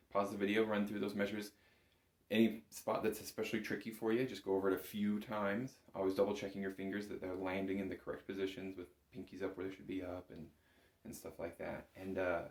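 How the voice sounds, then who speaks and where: A man with a deep voice gives an instructional lesson in a reverberant room. He is patient and informal, guiding learners one step at a time. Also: darkish tone. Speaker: bagpipe instructor. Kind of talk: instructional music lesson to camera. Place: indoor room, echoey. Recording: echoey room.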